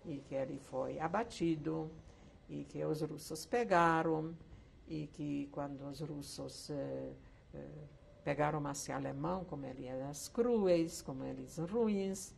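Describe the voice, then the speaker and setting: An older woman from Czechoslovakia, once a camp survivor, telling her life story slowly with hesitant pauses in an oral history interview. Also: deep voice, creaky, darkish tone, a little hiss or hum. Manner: slow reflective storytelling with hesitant fillers.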